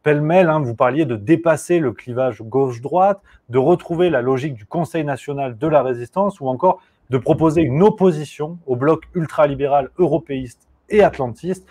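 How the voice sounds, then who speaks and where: A man hosting an interview, asking questions in a measured way and summing up points from his notes. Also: fairly high pitch, mellow. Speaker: interviewer, host.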